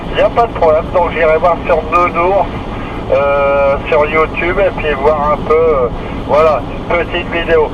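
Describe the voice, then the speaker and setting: A man chatting over CB radio in a relaxed, drawn-out way, peppering his talk with fillers. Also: a little high. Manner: chatty, drawn-out, punctuated with "voilà". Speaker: CB radio operator. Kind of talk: casual CB radio chat. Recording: dull CB radio transmission with heavy noise.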